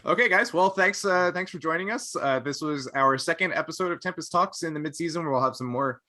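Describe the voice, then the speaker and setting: Bright, forceful male podcast host. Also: a little high, brisk. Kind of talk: casual group discussion.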